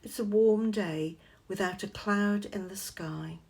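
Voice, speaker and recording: Slow-paced woman leading guided meditation. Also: medium pitch, creaky, a little mellow.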